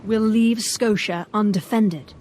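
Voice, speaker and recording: An actress playing a bold young dark-side apprentice in a video game, wry and confident. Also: female voice, mid-range voice, slow, slight background noise, close to an everyday voice. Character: bold, cocky young female Sith apprentice. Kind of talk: video game dramatic acting.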